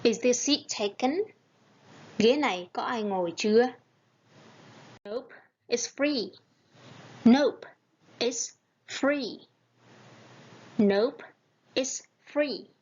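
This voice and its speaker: A woman reading short English practice phrases slowly and repeating them, each followed by a Vietnamese translation. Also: fairly high pitch, a little mellow, muffled recording. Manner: very slow, carefully spaced phrases.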